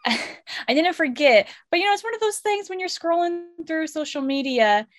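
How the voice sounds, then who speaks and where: A woman's voice: very high-pitched, lively intonation, moderate pace. A woman co-hosting a podcast in casual chat, easygoing and agreeable, with light jokes. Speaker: podcast co-host.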